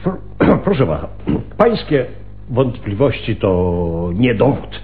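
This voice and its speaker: Slow, polite old gentleman. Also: mid-range voice, very animated, narrow, tinny audio. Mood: indignant. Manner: courteous, deliberate. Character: mild, polite elderly gentleman, quietly defiant suspect. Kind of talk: Polish radio play acting.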